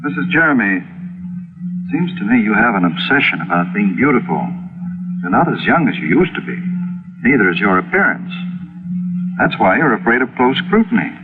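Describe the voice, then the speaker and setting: An actor playing a blunt, probing doctor with a formal clinical manner in an old-time radio mystery. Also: male voice, medium pitch, big pitch swings, brisk, long pauses, close to an everyday voice. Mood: cold, probing. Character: blunt, probing little male doctor with sharp eyes. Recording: tinny, noisy vintage radio recording.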